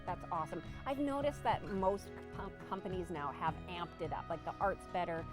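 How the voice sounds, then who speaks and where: A woman's voice: lowish voice, lively intonation, moderate pace, music in the background. A woman hosting a casual interview in a conversational way. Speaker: interviewer, show host.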